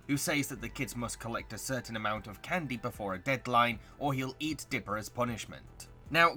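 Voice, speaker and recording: Male narrator, bright forceful voice, scripted video essay, music behind. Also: medium pitch.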